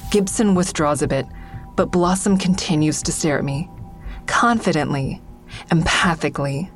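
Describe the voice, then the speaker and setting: A woman's voice: deep voice, moderate pace, faint music behind, close to an everyday voice. An actress playing a defensive, sardonic woman comedian in an audio drama, wry and guarded, confiding her thoughts as narration. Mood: defiant. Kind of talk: full-cast audio drama acting with first-person narration.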